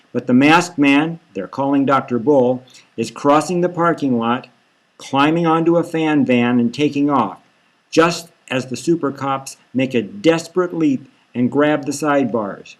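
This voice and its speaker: A man voicing an excitable news reporter machine giving live commentary on a chase in an audiobook. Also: mid-range voice, measured pace, close to an everyday voice. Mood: alarmed.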